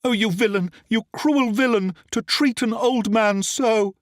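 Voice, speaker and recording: A man doing a high, theatrical scheming lady. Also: a little slow, no room sound, clean studio sound. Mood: outraged. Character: scheming noblewoman, feigning grief.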